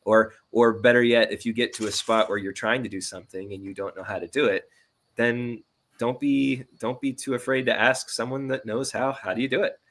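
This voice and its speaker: A man's voice: medium pitch, fairly even intonation, brisk, fairly bright tone. A man speaking as a sound designer guest in a casual interview, informal and encouraging.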